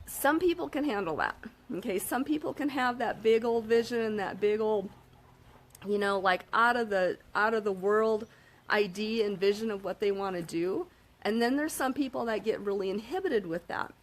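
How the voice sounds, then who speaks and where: Woman, slightly hoarse, casual motivational vlog, upbeat, chatty fillers. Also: mid-range voice, moderate pace. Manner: upbeat, frequent "okay?" check-ins and fillers. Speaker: self-help vlogger. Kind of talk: casual motivational talk to camera.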